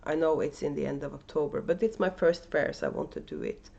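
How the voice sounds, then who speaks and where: A Norwegian-accented woman talks casually and hesitantly to her camera at home, full of "uh"s and restarts. Her voice sits a little low for a woman. The camera audio sounds somewhat dull and muffled. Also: big pitch swings, fairly quick, gravelly, darkish tone, slight background noise. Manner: hesitant, many fillers and restarts. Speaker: knitting podcast host and yarn dyer. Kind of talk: casual unedited video podcast chat. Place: at home, indoors. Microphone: camera microphone.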